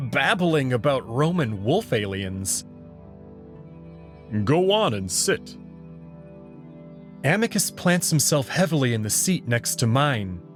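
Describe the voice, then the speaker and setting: A man reading expressive first-person narration for a visual novel story. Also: on the high side. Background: background music.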